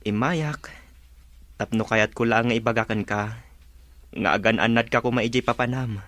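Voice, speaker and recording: Lalaki nga agak-akto a agbabbabawi nga agtutubo nga ama. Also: male voice, mid-range voice, fairly even intonation, normal speed, fairly bright tone, no room sound, natural voice. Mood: earnest. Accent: Ilocano. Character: remorseful young father, polite and earnest grown son. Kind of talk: Ilocano comedy drama voice acting.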